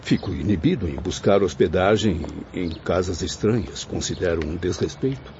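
An actor playing an anguished, restless male spirit in a radio serial, speaking in slow, plaintive, formal phrases. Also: low-pitched, darkish tone, close to an everyday voice. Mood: troubled, despondent. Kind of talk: dramatic radio serial acting. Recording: muffled audio with faint background noise.

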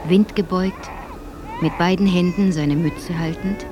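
A woman's voice: lowish voice, fairly even intonation, unhurried, noisy background, natural voice. An actress playing a reflective woman narrator who recalls a hard childhood in a literary radio drama.

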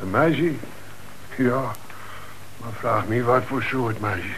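A man's voice: medium pitch, expressive, takes it slowly, fairly bright tone. An actor in a Dutch radio play playing a middle-aged father, polite and resigned, giving short, weary answers. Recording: noisy old radio play recording.

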